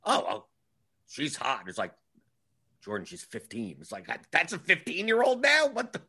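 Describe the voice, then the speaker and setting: A man's voice: on the high side, huge pitch swings, moderate pace, bright, forceful tone, husky. A man in his forties hosting a casual livestream chat, wry and grumbling, often repeating himself. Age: 40s. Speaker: livestream host.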